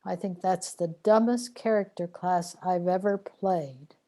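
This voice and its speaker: A weary woman trapped in a cosmic limbo, played by an actress for a sci-fi radio drama. Her voice sits fairly low and moves very slowly, with a plaintive, complaining edge. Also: dark tone. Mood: weary, fed up.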